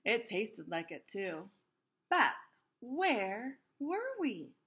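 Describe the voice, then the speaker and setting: A woman narrates a children's book in an expressive read-aloud style. Her voice is fairly high and very animated, and she speaks to her young listeners as a teacher would. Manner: expressive, pauses to explain to listeners.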